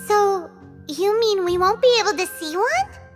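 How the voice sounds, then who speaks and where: A woman voicing a tiny, excitable, childlike fairy companion in a video game, with a squeaky voice and an exclamatory way of talking. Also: a little slow. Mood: curious. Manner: exclamatory, refers to self in third person. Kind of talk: animated video game voice acting. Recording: loud music behind the voice.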